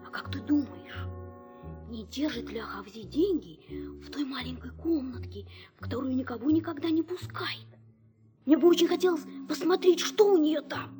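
A woman's voice: sits high, expressive, normal speed, warm tone, faint music behind. A woman playing a small, naive, good-hearted boy who thinks aloud earnestly in an audio fairy tale. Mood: curious, hushed.

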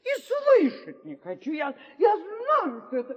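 A woman playing an indignant old woman who dotes on her cats, theatrical and drawn-out, in an audio fairy tale. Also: very high voice, wildly swooping pitch, long reverb tail, exaggerated voice. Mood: furious, tearful.

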